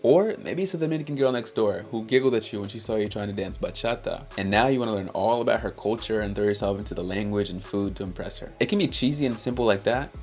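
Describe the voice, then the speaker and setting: Low gravelly Haitian-born man narrating. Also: a little mellow. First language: Haitian Creole. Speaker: language-learning YouTuber. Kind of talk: scripted storytelling narration.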